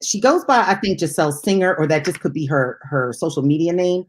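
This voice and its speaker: Low-pitched woman chatting about music. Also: animated, fairly quick. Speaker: radio show host and music DJ. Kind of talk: casual music chat.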